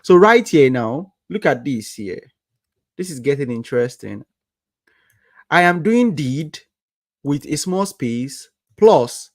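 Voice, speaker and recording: A man's voice: fairly high pitch, big pitch swings, slow pace, long pauses, no room sound, studio quality. A young Nigerian man with a Nigerian accent, teaching code on a livestream and asking the viewers rhetorical "what?" questions as he goes. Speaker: coding livestream instructor. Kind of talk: live coding tutorial with chat.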